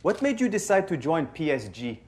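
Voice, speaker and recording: Higher-voiced probing male sports journalist. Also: very animated, brisk, a little mellow, slight background noise. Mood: formal. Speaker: reporter. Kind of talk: video game cutscene acting.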